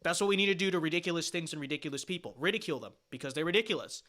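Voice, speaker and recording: A man with a high, bright voice gives loose, opinionated livestream commentary. He wanders through fillers and half-started sentences, then hammers his points with blunt, dismissive emphasis. The tone stays casual and edgy throughout. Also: expressive, racing. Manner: rambling and opinionated, heavy fillers and restarts. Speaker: streamer reacting to his own panel appearance.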